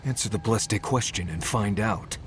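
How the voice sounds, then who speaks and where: An actor playing a gruff, sardonic, grumbling companion in a video game, with a dry delivery. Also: male voice, lowish voice, normal speed, soft background music, lightly acted. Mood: threatening. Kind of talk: scripted video game dialogue.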